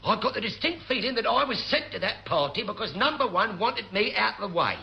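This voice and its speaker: An actor playing a scheming, put-upon chief petty officer in an old British radio sitcom, grumbling, wheedling and blustering. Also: male voice, high voice, lively intonation, brisk, gravelly. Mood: grumbling, suspicious. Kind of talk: 1950s-60s British radio sitcom acting. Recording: dull, hissy old radio comedy recording.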